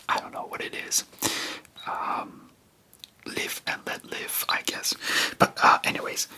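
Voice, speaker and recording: Man whispering rambling ASMR chat. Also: sharp tone. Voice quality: breathy unvoiced whisper. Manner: rambling, hesitant, spontaneous. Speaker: ASMR video host.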